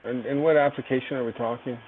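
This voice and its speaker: A man's voice: medium pitch, steady tone, fast. A man working the phone at a computer repair shop, polite and puzzled as he handles a customer call. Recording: tinny phone line with light noise.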